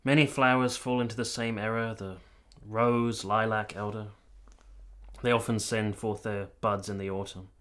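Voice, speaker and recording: A man playing an earnest, gentle soldier in a period radio play, speaking in a measured, literary way. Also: on the low side, fairly even intonation, natural voice. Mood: gentle, reassuring. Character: earnest, gentle young soldier narrating his own story. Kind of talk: first-person dramatic narration in a radio play.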